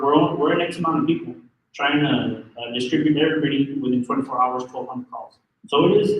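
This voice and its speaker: Loud fire dept guy talking to a room, lots of ums, super echoey and far off the mic. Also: medium pitch, slight background noise. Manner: hesitant, many fillers and restarts. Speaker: fire marshal office representative. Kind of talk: public safety briefing.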